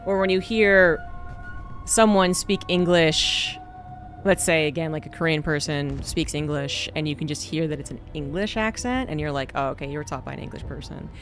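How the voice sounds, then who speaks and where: A man's voice: fairly high pitch, normal speed, faint music behind. A man streaming a game, chatting casually and rambling with lots of fillers. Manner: rambling, relaxed, many fillers. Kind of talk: casual gameplay chat.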